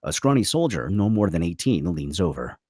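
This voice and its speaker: A man's voice: low voice, very animated, brisk, a little mellow, slightly rough, no room sound, studio quality. A man reading screenplay stage directions as the narrator of a table read.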